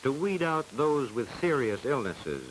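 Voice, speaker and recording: An American man reading scripted narration for a 1940s educational film in a formal, measured, declamatory way, on a hissy old soundtrack. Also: mid-range voice, big pitch swings, rough recording.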